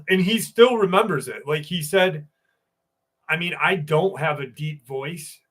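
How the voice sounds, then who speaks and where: A man's voice: fairly high pitch, expressive, takes it slowly, a bit bright, breathy edge. A man hosting a live stream, telling stories in a loose, profane way and greeting viewers by name. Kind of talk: casual live stream chat and storytelling.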